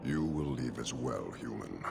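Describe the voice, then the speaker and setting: Very deep, gravelly horned warlord. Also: male voice, animated, heavily acted. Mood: cold, stern. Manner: measured, severe, unyielding. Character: stern, imposing male Qunari warlord, horned giant. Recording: loud ambient noise under the voice.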